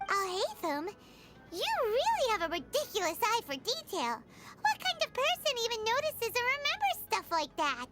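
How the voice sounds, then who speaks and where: A woman voicing a tiny, childlike, excitable fairy companion in a video game, squeaky, bubbly and blunt. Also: normal speed, heavily acted. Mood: exasperated. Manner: exclamatory, bubbly. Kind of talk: scripted video game dialogue. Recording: prominent game music behind the voice.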